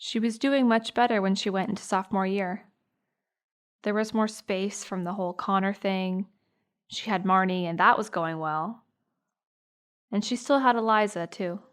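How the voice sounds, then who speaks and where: A young American woman playing a sympathetic, earnest high school girl recalling a friend in a naturalistic audio drama. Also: steady tone, moderate pace, clean tone, close to an everyday voice. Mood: reflective, fond.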